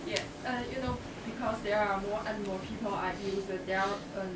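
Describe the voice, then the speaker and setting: A woman's voice: medium pitch, steady tone, normal speed. Hesitant woman asking from the audience. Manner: hesitant, searching for words. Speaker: audience member asking a question. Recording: distant voice in a noisy echoey hall.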